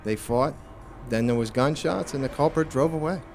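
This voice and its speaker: A man playing a hardboiled private investigator in a noir audio drama, terse and deadpan, with music behind him. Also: medium pitch, normal speed, gravelly, lightly acted. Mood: grave. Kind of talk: noir radio drama with first-person narration.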